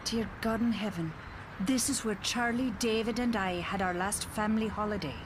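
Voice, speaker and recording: A woman's voice: mid-range voice, normal speed, background noise, natural voice. A woman playing an anxious, defensive doctor and mother in a motion comic, earnest and pointed. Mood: dismayed.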